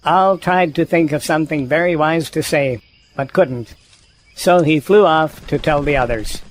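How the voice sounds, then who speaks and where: A man's voice: on the high side, unhurried, a little hiss or hum. A man narrating a scripted children's story.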